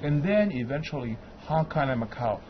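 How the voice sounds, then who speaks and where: A man's voice: medium pitch, normal speed, dull audio, a little hiss or hum. A man from Beijing, a guest on a studio panel, making his points with emphatic, listing delivery. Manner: animated, emphatic, lists points.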